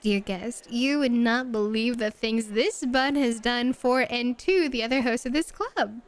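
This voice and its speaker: A woman's voice: fairly high pitch, lightly acted. A woman playing a flirty, dominant and playful hostess at a bunny club in an ASMR roleplay, teasing and drawing out her words coquettishly. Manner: teasing, coquettish, drawn-out purring.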